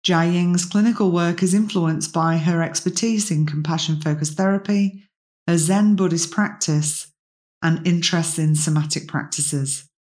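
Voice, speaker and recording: A woman's voice: lowish voice, fairly even intonation, a little slow, darkish tone. A woman hosting a podcast interview, introducing her guest and asking encouraging questions with frequent affirming yeahs. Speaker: podcast host.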